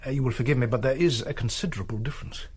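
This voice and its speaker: An actor playing a dutiful, doubting German officer in a wartime radio drama, precise and deferential with a hesitant manner. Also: male voice, medium pitch, very fast, darkish tone, breathy edge, lightly acted. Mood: respectful, concerned.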